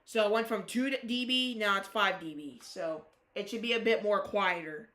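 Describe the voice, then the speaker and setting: Ham radio live-stream host, casual chat, halting restarts, bright forward voice. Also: medium pitch. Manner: halting, restarts sentences, spells call sign phonetically.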